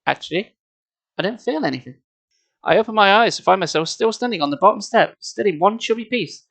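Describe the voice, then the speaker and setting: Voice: mid-range voice, lively intonation, fairly quick, gravelly, fairly bright tone. A storyteller reading a children's book expressively, slipping in casual, wry and mocking asides. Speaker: storyteller, live streamer.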